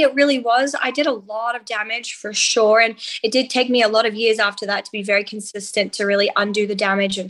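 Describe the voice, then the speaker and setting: A woman from the outback, a guest in a podcast interview, telling her story in lively conversation. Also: fairly high pitch. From Australia. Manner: animated storytelling with light laughs. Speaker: guest nutritionist.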